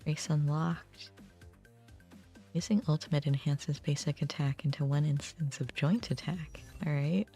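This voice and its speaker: A woman livestreaming a game, chatting casually and thinking aloud in a halting way. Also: low-pitched, steady tone, mellow. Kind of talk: casual chat while playing a game. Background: game music.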